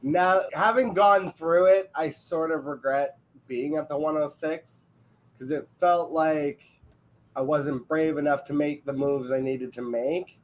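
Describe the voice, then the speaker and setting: A man's voice: on the high side, a little slow, rough recording. A man from Canada with a Quebec accent, joking and self-deprecating as a guest on a casual podcast. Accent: Canadian French-English mix, Quebec. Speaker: guest analyst.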